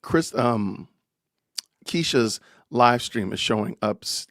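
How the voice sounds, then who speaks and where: A man's voice: mid-range voice, takes it slowly, creaky, dry recording, clean studio sound. A man hosting a casual live-stream podcast, ranting with rising emphasis. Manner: animated, preachy rants with rising emphasis. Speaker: podcast host.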